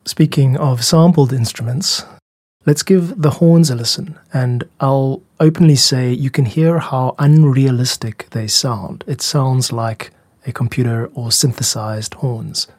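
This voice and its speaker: A man hosting a podcast about making music, explaining things in a measured, candid, self-critical way. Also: medium pitch, steady tone, a little mellow, slightly rough, no echo. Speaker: music podcast host and composer.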